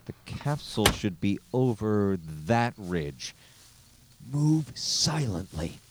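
An earnest male scientist in a science fiction audio drama. He is guarded, urgent and a little stammering, with lively swings in pitch. A vehicle-like rumble runs clearly behind him. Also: slow pace. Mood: tense.

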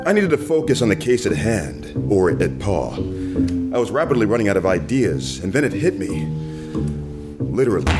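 Man acting a wry private eye, swinging intonation. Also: fairly low pitch. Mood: wry narration. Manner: deadpan wordplay. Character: wisecracking hardboiled male private detective, dry and wry. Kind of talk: noir radio drama acting with narration.

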